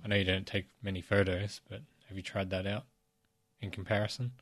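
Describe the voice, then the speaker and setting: A man co-hosting a casual podcast, croaky from a cold, asking questions and gently teasing. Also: sits low, little pitch movement, brisk. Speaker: podcast co-host. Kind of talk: casual chat.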